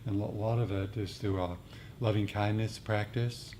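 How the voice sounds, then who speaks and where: A man with a fairly low voice explains meditation practice to a group without a script. He pauses and searches for words, with faint room noise behind him. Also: fairly even intonation, dark tone. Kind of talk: unscripted explanatory group discussion.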